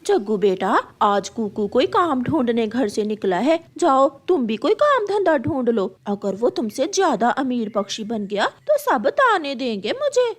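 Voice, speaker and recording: A woman's voice: a little high, sing-song, measured pace, hardly any pauses. A woman voicing a nagging mother crow in a cartoon story, urging and anxious about status. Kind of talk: cartoon story character dialogue.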